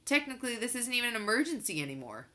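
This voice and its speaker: Woman reading as insistent young boy, bright voice. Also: fairly high pitch, big pitch swings, rapid-fire, pitched above the performer's own voice. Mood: insistent, questioning. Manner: insistent, argumentative. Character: young boy Dashiell, precocious, stubborn moon-base kid.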